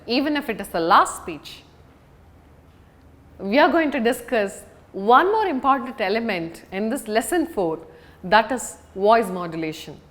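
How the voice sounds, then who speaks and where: A woman giving a public speaking lesson as an instructor, speaking deliberately and emphatically with dramatic pauses. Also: on the high side. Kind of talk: scripted lesson to an audience on video.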